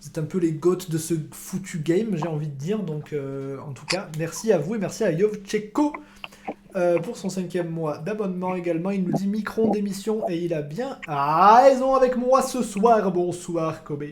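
A man's voice: high-pitched, moderate pace, a little mellow. A man hosting a casual football podcast, rambling, joking and repeating his words as he talks. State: recovering from illness. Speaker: podcast host. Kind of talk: casual chat.

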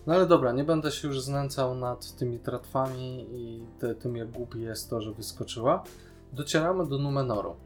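This is a man with a voice of ordinary pitch. He speaks informally as a podcast co-host, questioning things and giving firm opinions. A light guitar track runs behind him. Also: moderate pace. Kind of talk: casual discussion of a TV series.

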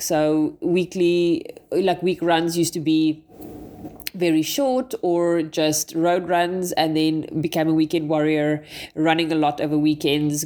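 A woman's voice: sits low, darkish tone. A South African woman in her thirties with a blocked, stuffy nose, chatting in a meandering, self-correcting way as a podcast host. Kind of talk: casual personal monologue.

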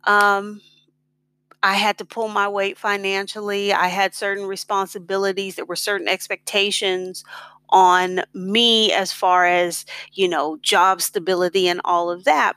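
A woman's voice: mid-range voice, measured pace, bright, forceful tone, clean tone, no echo. A middle-aged American woman telling her own story in a casual, reflective, conversational way. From United States. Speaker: blogger on expat life and personal finance.

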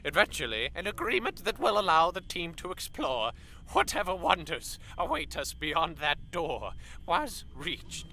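A man's voice: fairly high pitch, big pitch swings, unhurried, pushed and bright, full character voice. An actor playing a condescending male professor giving a pompous explanation in a radio drama, over a noisy recording. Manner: pompous, sneering at colleagues. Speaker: scientist interviewed on air.

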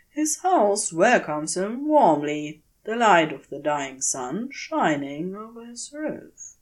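A woman reading scripted fiction aloud as an audiobook narrator, in a gentle, expressive, measured storytelling manner. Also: lowish voice. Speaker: audiobook reader of fan fiction.

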